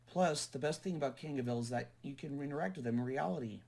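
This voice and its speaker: Guy doing gravelly husky cartoon road-tripper, eager, sounds far off. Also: mid-range voice, quick pace, a little mellow.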